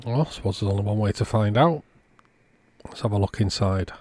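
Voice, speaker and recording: A man's voice: lowish voice, a little fast, a little mellow, no echo. A man hosting a repair video, giving casual spoken commentary as a technician.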